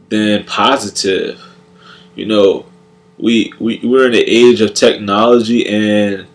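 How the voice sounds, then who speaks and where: A young man with a congested, nasal voice, talking casually and haltingly about faith, with many repeated words and restarts. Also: lowish voice. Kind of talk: casual spoken reflection on faith.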